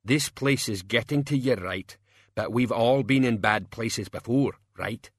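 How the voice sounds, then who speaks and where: A man as a gruff, short-tempered gang boss. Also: mid-range voice, a little slow, dry recording, clean studio sound. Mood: firm, strained. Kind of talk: audiobook character acting.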